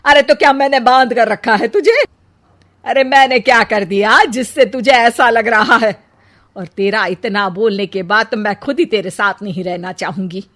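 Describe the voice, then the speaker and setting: A woman voicing a sharp-tongued older mother-in-law in a cartoon story, loud and emphatic. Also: a little high, normal speed, lightly acted. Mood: angry, indignant.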